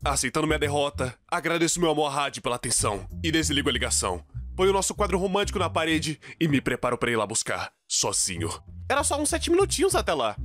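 A man with a Brazilian accent narrating a comedic animated story in a theatrical, exaggerated way. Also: dry recording. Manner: theatrical, exaggerated, swinging from low mutters to shrill outbursts.

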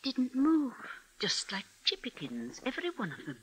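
High-voiced, frightened young daughter. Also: female voice, wildly swooping pitch, normal speed. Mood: hushed, awed. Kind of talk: 1950s radio drama acting. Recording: noisy vintage 1950s radio recording.

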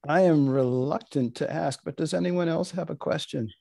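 A man hosting and wrapping up an event in a measured, deadpan way. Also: a little high, creaky, dry recording, clean studio sound. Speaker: host, moderator. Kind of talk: deadpan hosting and closing remarks. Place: indoors on a video call.

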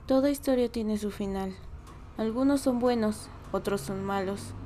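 A woman's voice: mid-range voice, unhurried, warm tone, a little hiss or hum, close to an everyday voice. A woman with a Latin American accent voicing a vengeful, brooding young cartoon pig in a fan dub, hesitant and solemn. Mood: grim resolve. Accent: Latin American Spanish.

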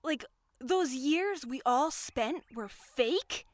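A woman playing an earnest, easily wounded teenage girl in an animated drama, speaking in a halting, emotional way. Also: high voice, dry recording, full character voice. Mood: bitter, hurt.

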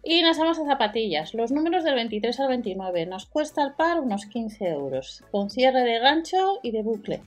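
Lady narrating supermarket deals, kinda high voice, soft music under it. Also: sing-song, moderate pace, hardly any pauses. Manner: matter-of-fact, reads prices and sizes. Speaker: deal-video host. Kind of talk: voice-over product walkthrough.

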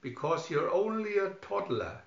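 A man voicing a homely, excitable, tender-hearted widow in a storybook. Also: high-pitched, moderate pace, creaky, voice pushed up, full character voice. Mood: crooning. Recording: dull lo-fi recording, roomy echo.

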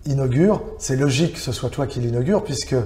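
A middle-aged man hosting a relaxed interview in a small gallery salon, easygoing and sprinkled with fillers. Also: mid-range voice, fast, echoey room. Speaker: gallery owner hosting the web series.

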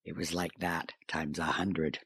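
A woman's voice: extremely low pitch, little pitch movement, unhurried, fairly bright tone, breathy edge, no room sound, exaggerated voice. An actress playing a wry, folksy small-town mayor telling her stories in an audio drama interview. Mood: reflective, explanatory. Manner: folksy, wry, chuckling storyteller.

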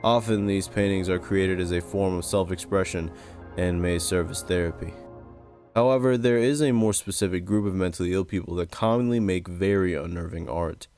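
A man reading scripted narration for a video essay in a measured, serious way. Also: low voice, darkish tone, faint music behind. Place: close-recorded voice-over with music behind.

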